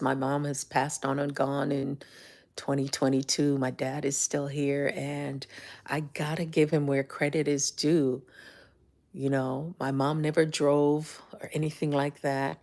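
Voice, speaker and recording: An older woman with a Jamaican accent giving motivational talk on a livestream, telling stories animatedly and dropping to whispers. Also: vocal fry. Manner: animated storytelling, rises high when excited, drops to whispers. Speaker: life coach and livestream host.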